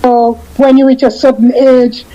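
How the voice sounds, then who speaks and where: A woman in her fifties chats as a radio guest in a high, mellow voice. She stops and restarts often, repeating words as she gathers her thoughts. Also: flat delivery, dull audio. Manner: halting, repeats and restarts phrases. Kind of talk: casual radio interview chat.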